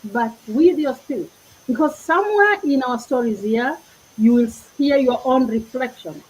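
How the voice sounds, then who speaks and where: A woman with a Kenyan accent speaks as the host of an inspirational discussion. Her voice sits fairly high and has a husky edge. She phrases things earnestly and reflectively. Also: a little mellow, highs cut off. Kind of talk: hosting an inspirational panel talk.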